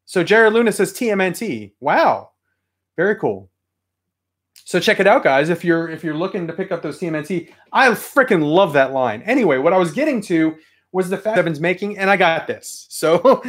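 A man in his thirties, getting over a cold, chatting casually to a live stream chat from a toy-filled room at home. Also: high voice. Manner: rambling, chatty, reads chat aloud. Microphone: webcam at desk.

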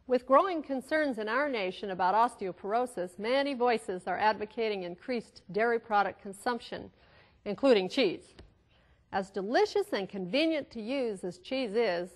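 A middle-aged woman hosting a cooking show, explaining health facts about food to the camera in a measured, informative way, with now and then a filler, on a studio kitchen set with a lapel mic. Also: fairly high pitch. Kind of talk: scripted health lesson to camera.

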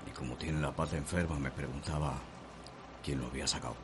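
Casting: very deep-voiced enslaved Cuban man, restrained and respectful, radio drama with noisy backdrop. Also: steady tone, quick pace. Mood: guarded, respectful. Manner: deferential, restrained. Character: guarded enslaved man, strong, deferential to his mistress. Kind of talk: radio soap opera acting. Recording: music and ambient noise behind voice.

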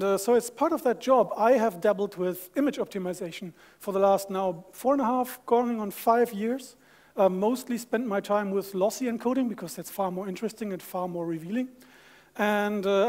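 Fast-talking German man, high voice. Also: a little mellow. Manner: friendly, joking, fast-talking with fillers. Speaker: conference speaker. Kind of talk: tech conference talk. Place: large auditorium stage.

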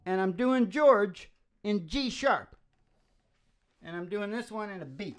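A man's voice: high-pitched, animated, slow. A man in his sixties, a musician hosting a show, chatting casually and ramblingly into a close stand microphone. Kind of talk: casual rambling stage banter. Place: small wood-panelled indoor room. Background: acoustic guitar.

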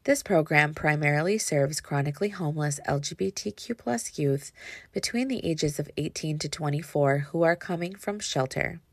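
A woman's voice: low voice, animated, normal speed, no room sound. A woman, a youth development specialist, reading a scripted presentation over slides on a webcam.

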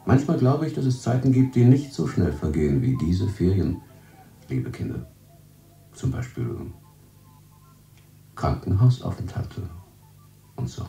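A man playing an avuncular radio-play narrator, slowly musing in a paternal way to children. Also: deep voice, big pitch swings, vocal fry, dark tone, faint music behind, heavily acted. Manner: slow, musing. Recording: echoey, distant from the mic.

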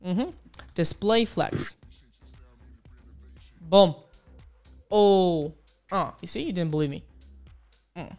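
A man's voice: takes it slowly, long pauses, a little mellow, soft background music. A young man teaching coding live on stream, upbeat and exclamatory, chatting with viewers as he types. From Ukraine. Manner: upbeat, exclamatory, keeps saying boom and beautiful. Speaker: coding instructor on livestream. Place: small home studio. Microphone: studio mic on arm with headphones.

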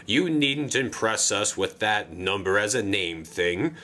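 A man voicing a pompous, fussy male railway controller who talks in a brisk, jolly and formal way. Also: lowish voice, sharp tone, voice pushed down.

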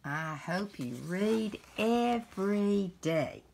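An older woman hosts a read-along for young children. She takes the words slowly and deliberately, one at a time, with pitch that swings up and down. Her voice has a creaky edge. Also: lively intonation, darkish tone, a little hiss or hum. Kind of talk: children's picture book read-aloud. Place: indoors at home.

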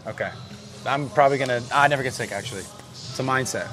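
Blunt fast-talking man in a gym. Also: medium pitch, fairly bright tone, faint music behind. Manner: blunt, teasing, quick questions. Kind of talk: casual chat. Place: large indoor gym. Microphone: lapel mic.

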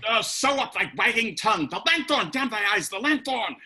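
A man playing a hot-blooded Elizabethan playwright with a bright, lively voice that rises and falls widely. He declaims archaic verse with ardour and snaps into hissed insults. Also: mid-range voice, measured pace. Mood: furious. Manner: declaims archaic verse, swings to furious hissing. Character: passionate young Elizabethan playwright, hot-tempered. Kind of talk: audio drama acting.

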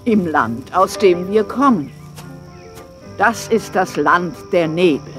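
A woman playing a wary, aged dinosaur herd elder in a children's audio drama, speaking gravely. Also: fairly low pitch, lively intonation, takes it slowly, music in the background.

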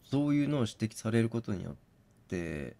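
A man doing read-aloud game commentary, musing and deliberating as he thinks out loud. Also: fairly low pitch, very animated, normal speed, gravelly. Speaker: gaming channel host.

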